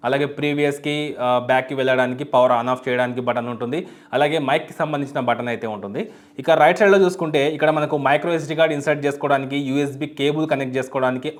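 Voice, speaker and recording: A man explaining a product step by step as a tech reviewer, in an unboxing video style. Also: fairly high pitch. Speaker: YouTube tech reviewer and host.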